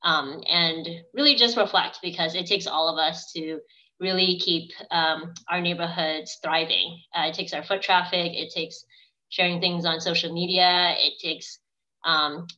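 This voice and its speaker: A woman's voice: lowish voice, pushed and bright. A woman in her thirties hosting a casual online event over a video call. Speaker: event host. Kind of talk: casual livestream hosting, reading out comments. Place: indoors on a video call with virtual background. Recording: dull, lo-fi video-call audio.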